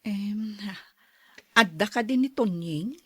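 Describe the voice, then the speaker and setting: A woman's voice: mid-range voice, animated, slow, slightly rough, dry recording, clean studio sound. An actress playing a neighbor woman who has spent all her money and is now asking for help, speaking in a worried, pleading way. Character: neighbor woman fallen on hard times after spending. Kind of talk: audio drama acting.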